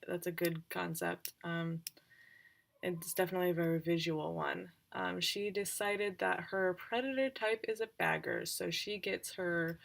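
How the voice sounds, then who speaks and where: A woman game master talking casually and haltingly, thinking aloud as she recaps roleplaying characters on a livestream. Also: fairly low pitch, gravelly.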